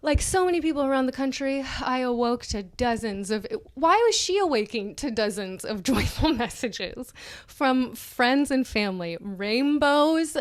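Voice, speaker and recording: A woman's voice: sits high, expressive, measured pace. A woman co-hosting a comedy podcast, reading an article aloud in a casual, mocking way with giggly asides. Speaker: podcast co-host.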